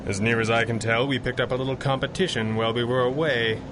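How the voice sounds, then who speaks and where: Guy doing a smooth-talking 1930s radio superhero, wry and articulate, medium pitch, vehicle noise behind. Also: steady tone, fast, natural voice. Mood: measured briefing. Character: suave, resolute masked hero, wry billionaire playboy. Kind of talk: 1930s radio drama acting.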